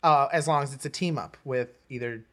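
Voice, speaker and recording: A man co-hosting a podcast, chatting casually about comics and films in a rambling, halting way with false starts. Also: mid-range voice, fairly bright tone. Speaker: podcast co-host. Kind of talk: casual comics and film chat.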